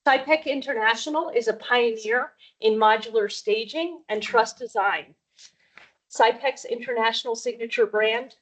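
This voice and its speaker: A woman's voice: fairly high pitch, low-quality recording. A woman representing an economic development group, steadily reading a prepared, formal introduction of a company.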